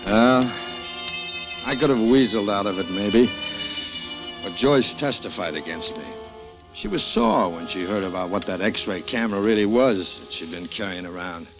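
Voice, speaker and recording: An actor playing a bitter jailed crook facing execution, talking wryly and resignedly in 1940s radio acting style on a dull old broadcast recording. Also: male voice, on the low side, expressive, moderate pace, over music. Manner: wry, resigned.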